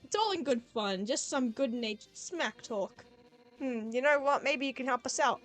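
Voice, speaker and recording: A woman voicing a brash female fish warrior who yells and trades cocky smack talk. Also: high-pitched, lively intonation, moderate pace, faint music behind, low-quality recording. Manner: yelling, cocky trash talk.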